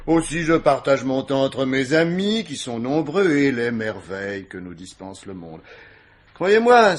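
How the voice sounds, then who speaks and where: An actor playing an urbane, witty, self-absorbed older gentleman of leisure in a radio mystery, speaking with mannered, ironic asides. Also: on the high side. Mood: urbane, wry. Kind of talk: vintage French radio mystery acting.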